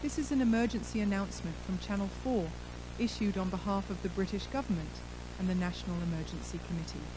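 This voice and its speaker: A woman reads a scripted emergency broadcast announcement in a formal, measured manner. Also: mid-range voice, noisy background.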